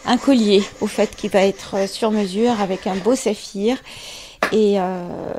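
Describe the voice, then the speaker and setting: Unhurried middle-aged woman from Morocco. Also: mid-range voice, steady tone, background noise. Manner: measured and unhurried, pausing often to find words. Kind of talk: casual interview, explaining her trade.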